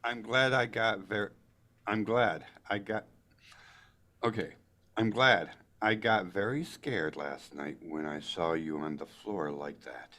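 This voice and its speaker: A man reading dialogue aloud in a book club, somewhat halting, with casual asides. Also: lowish voice, bright, forceful tone.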